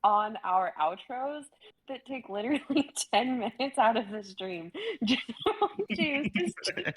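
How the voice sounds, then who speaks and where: A woman's voice: a little high, poor audio. A young woman chatting playfully on a live stream, giggling and joking often. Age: young adult. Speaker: stream co-host and reader. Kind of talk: casual group chat.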